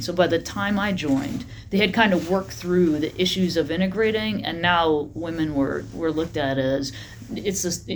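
A middle-aged woman army general answering interview questions in a matter-of-fact, steady way. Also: low-pitched, a little hiss or hum.

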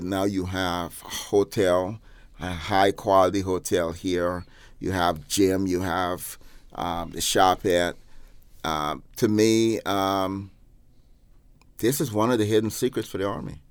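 A middle-aged man from Guyana answering interview questions, halting with many pauses and restarts. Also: low-pitched, smooth, no echo. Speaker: interviewee, former Army staff.

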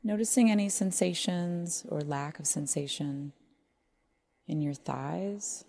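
A woman leading a guided body scan meditation in measured phrases with long pauses. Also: fairly low pitch, gravelly, mellow. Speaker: meditation teacher.

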